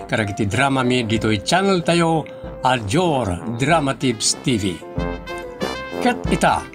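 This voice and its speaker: Male channel host reading the intro and greetings, a bit high-pitched, light music underneath. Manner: announcing greetings. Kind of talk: show introduction.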